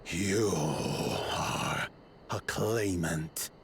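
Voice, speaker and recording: An actor voicing a proud, grim giant conqueror in a video game, with gravelly, solemn, halting speech. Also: male voice, low voice, a little hiss or hum, full character voice. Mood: solemn, disdainful. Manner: slow, halting, solemn, archaic phrasing.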